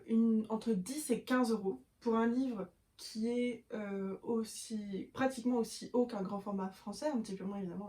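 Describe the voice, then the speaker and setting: A young woman chats to the camera about books, with plenty of small fillers and restarts. Her voice sits a little high but sounds mellow and relaxed. The room around her gives a noticeable echo. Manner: chatty, with frequent fillers and self-corrections; shows books to camera while talking. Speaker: book vlogger. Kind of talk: casual chat to camera. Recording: echoey room.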